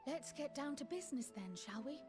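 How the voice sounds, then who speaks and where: High-pitched, self-assured scholarly heroine. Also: female voice, lively intonation, a little fast. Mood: businesslike, resolute. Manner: polite, articulate, composed. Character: small, bright, self-assured scholarly female leader. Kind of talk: scripted fantasy game dialogue. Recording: orchestral music behind the voice. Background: game music.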